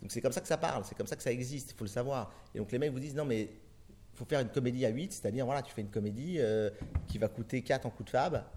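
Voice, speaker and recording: A man's voice: medium pitch, quick pace, warm tone. A middle-aged man from France, a film director answering interview questions in long, rambling turns full of restarts and fillers.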